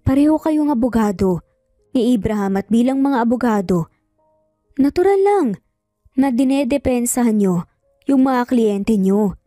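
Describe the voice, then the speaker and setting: A woman storyteller acts out a caring older sister in an audio drama. She pleads gently and persuasively, with a mellow, higher voice, recorded close and dry over music. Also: lively intonation, measured pace, long pauses, no room sound. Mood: concerned, pleading. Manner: earnest, persuasive.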